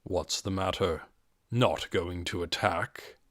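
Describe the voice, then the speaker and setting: Man playing a low, quietly menacing armored warrior. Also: slow pace, dry recording, clean studio sound. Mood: cool taunting. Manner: quiet, casual, taunting. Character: calm, menacing, overpowering male warrior in full plate.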